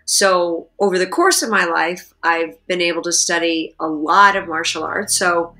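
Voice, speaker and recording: Middle-aged female guest, bright and clear-toned, thoughtful and explanatory, video call audio. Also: lowish voice. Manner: thoughtful, explanatory, with filler words. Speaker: guest, actress and martial artist. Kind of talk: interview answers. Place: indoors, plain room.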